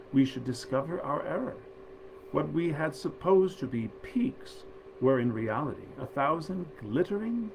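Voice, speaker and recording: A man's voice: mid-range voice, creaky. Man reading old storybook tales, noisy background. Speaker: actor reading stories aloud. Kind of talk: scripted storytelling of 19th-century fairy tales.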